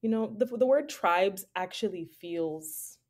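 A woman from Zambia, a playwright and actor, answering interview questions in a thoughtful, relaxed way and laughing easily. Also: medium pitch, slow pace. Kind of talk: casual interview chat.

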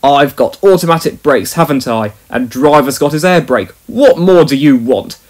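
A man's voice: a little high, animated, normal speed, darkish tone, natural voice. A man voicing a conceited, boastful male engine who scoffs dismissively. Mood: scoffing.

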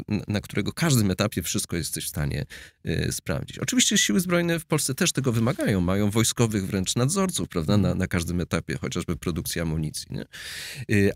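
Middle-aged male analyst, somewhat low, dry studio mic, thoughtful and halting. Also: dry recording. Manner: hesitant, many fillers and restarts, gestures while explaining. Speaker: security analyst guest. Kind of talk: conversational expert interview. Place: studio with blue backdrop. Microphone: studio mic on boom arm.

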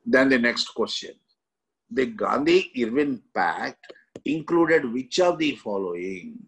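A middle-aged man with an Indian accent and a husky voice, teaching exam questions in emphatic, choppy phrases with frequent check-in tags. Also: mid-range voice, animated, slow pace, a bit bright, rough recording. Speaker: exam coaching history teacher. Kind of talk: lecture explaining exam questions.

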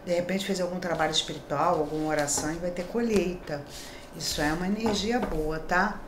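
A woman's voice: on the low side, fairly quick, roomy sound, noisy background. A middle-aged woman from Brazil giving a daily horoscope tarot reading in a chatty, reassuring way, often checking in with tag questions. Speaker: tarot reader. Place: small decorated room indoors.

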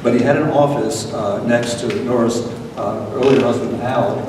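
Older male co-host, hesitant explanations, distant pickup with clear noise. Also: medium pitch, normal speed. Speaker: event co-host. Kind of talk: halting explanatory remarks. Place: lecture room with seated audience. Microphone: distant camera microphone. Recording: echoey room, distant mic, clear noise.

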